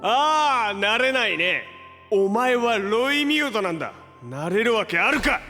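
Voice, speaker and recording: A man playing a hot-headed, flustered young hero with a soft heart in a Japanese superhero audio drama, brash and slangy in delivery. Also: high voice, very animated, unhurried, faint music behind, heavily acted. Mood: angry. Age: young adult.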